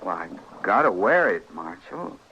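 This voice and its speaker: An actor playing a strange, meek little drifter in a radio Western, with a halting, polite, folksy American Western drawl. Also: male voice, deep voice, big pitch swings, bright, forceful tone, heavily acted. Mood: meek, earnest. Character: strange, meek little drifter obsessed with his hanging rope. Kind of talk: old-time radio Western acting. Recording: muffled old radio recording with hiss.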